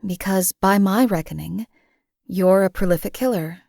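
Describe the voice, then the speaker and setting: A woman voicing a numb, detached female hired killer in a game, who talks in a measured, dry way. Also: mid-range voice, creaky, a little mellow, no room sound. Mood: cold, deadpan. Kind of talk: video game companion voice lines.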